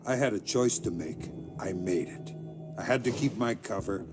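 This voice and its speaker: A man playing a hardened middle-aged undercover spy in a video game, terse, grim and deadpan. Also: lowish voice, normal speed, natural voice. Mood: guarded, terse. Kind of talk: dramatic video game acting. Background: loud music.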